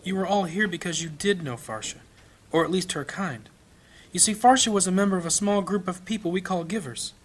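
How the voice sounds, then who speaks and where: Man playing a higher-pitched, grave fantasy priest. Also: a little fast. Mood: grave, bitter. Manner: oratorical, rhetorical questioning. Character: grave, blunt male fantasy priest giving a eulogy. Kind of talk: dramatic funeral sermon.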